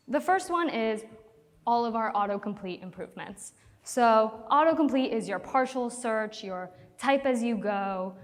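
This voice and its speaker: Nervous, upbeat woman presenting in a hall. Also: medium pitch. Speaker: conference speaker, product manager. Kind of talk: conference presentation. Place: large conference hall. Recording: long hall reverb.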